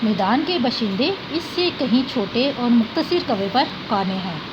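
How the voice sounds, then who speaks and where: Woman teacher, reading aloud and explaining words, slightly high voice, muffled lo-fi sound, clear background noise. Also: expressive, moderate pace. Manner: reads a sentence, then glosses hard words with "yani".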